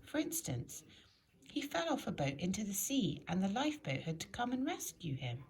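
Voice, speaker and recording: A woman reads a children's story aloud in an expressive, emphatic storytelling manner. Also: medium pitch, moderate pace. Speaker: storybook reader.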